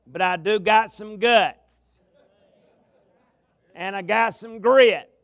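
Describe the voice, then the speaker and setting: A man with a Southern US accent preaching a revival sermon in a folksy, drawn-out cadence, with some gravel in his voice, speaking into a pulpit mic in a large tabernacle. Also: high-pitched, a bit bright, no room sound. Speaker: pastor, guest preacher. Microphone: amplified mic at a pulpit.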